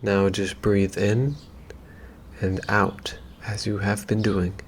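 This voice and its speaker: Low-voiced man guiding a calm meditation. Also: a little hiss or hum. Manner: gentle, unhurried, with long pauses. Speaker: meditation guide. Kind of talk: calm guided meditation, slow and soothing instruction.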